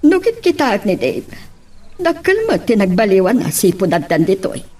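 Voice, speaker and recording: A woman's voice: mid-range voice, wildly swooping pitch, moderate pace, darkish tone. A woman with an Ilocano accent playing an inquisitive middle-aged mother in a romance radio drama. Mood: curious.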